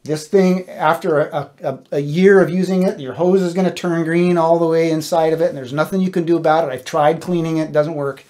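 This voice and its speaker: A man's voice: on the high side, fairly even intonation, a little fast, hardly any pauses, darkish tone. A middle-aged American man giving casual, wry instructional tips as a gigging guitarist, speaking into a close mic in a small home studio. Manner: informal, wry and self-deprecating. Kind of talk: casual instructional talk to camera. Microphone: close stand-mounted dynamic mic.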